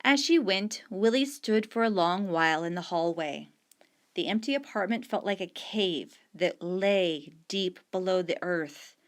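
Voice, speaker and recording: A woman reading a short story aloud in a steady, unhurried storytelling manner. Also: fairly low pitch. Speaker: story reader.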